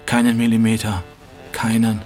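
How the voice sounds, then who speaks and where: A man's voice: on the low side, flat delivery, moderate pace, darkish tone, faint music behind, natural voice. An actor playing a bone-tired, despairing man in a dramatic literary recitation, emphatic and repeating his words. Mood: exhausted, despairing.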